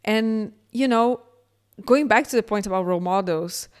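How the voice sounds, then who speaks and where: A middle-aged woman with a Brazilian accent gives a motivational keynote at a conference. She talks straight to the audience in an earnest, encouraging way. Her voice is smooth and clear and sits at an ordinary pitch for a woman. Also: moderate pace, darkish tone. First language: Portuguese. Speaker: keynote speaker.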